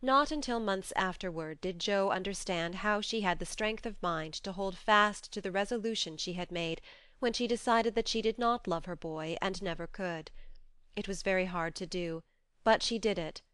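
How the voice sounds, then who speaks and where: Casting: female audiobook narrator, clear and smooth, expressive storytelling, very dry sound. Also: mid-range voice, normal speed, dry recording.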